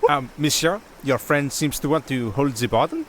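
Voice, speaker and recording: Lively, deferential inn servant played by a man. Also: medium pitch, moderate pace, slight background noise, close to an everyday voice. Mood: hesitant, polite. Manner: polite, servile. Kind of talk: fantasy ASMR audio roleplay.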